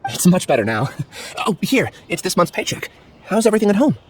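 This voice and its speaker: An actor playing a troubled, self-doubting young amnesiac scholar in a video game, hesitant and earnest. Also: male voice, high-pitched, big pitch swings, brisk, a little hiss or hum. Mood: uneasy, reassuring. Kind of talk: scripted video game dialogue.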